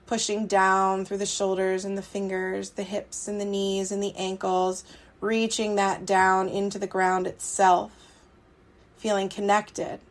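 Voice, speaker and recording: A woman leading a guided relaxation meditation, speaking soothingly and unhurriedly in short phrases with long pauses. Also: medium pitch. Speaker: meditation guide, yoga teacher. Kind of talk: guided yoga nidra meditation.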